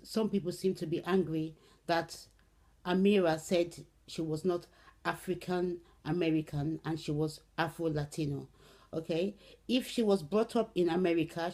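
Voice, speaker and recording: A middle-aged woman from Brazil, speaking casually to camera with many "um"s and "okay"s, in an unhurried way. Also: lowish voice, dark tone. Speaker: vlogger. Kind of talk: casual spoken commentary to camera.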